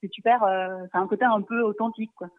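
A woman guest on a radio show, talking over the phone and often pausing on fillers and restarting. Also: medium pitch, steady tone, no room sound. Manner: hesitant, many fillers and restarts. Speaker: magazine writer and guest. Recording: tinny phone line.

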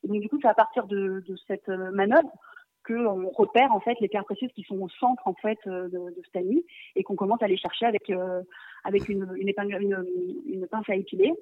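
A woman guest on a radio show, talking over the phone and often pausing on fillers and restarting. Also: mid-range voice, fairly even intonation, dry recording. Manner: hesitant, many fillers and restarts. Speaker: magazine writer and guest. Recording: tinny phone line.

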